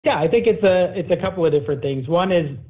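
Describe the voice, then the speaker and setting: A man's voice: sits high, fast talker. Male company executive, corporate earnings call delivery, businesslike, tinny conference line. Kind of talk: earnings call presentation and answers. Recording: narrow phone-like conference line.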